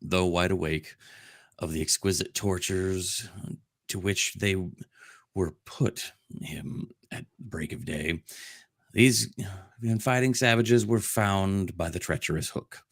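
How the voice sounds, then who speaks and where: A man's voice: deep voice, slow, no echo, studio quality. A man hosting a comedic dramatic reading of a storybook, in a jokey manner with asides. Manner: jokey, breaking off for ad-libs and laughter. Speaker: host doing a dramatic reading.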